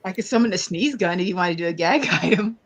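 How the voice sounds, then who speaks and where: A woman's voice: on the low side, quick pace. A woman chatting casually as a player in a tabletop roleplay game.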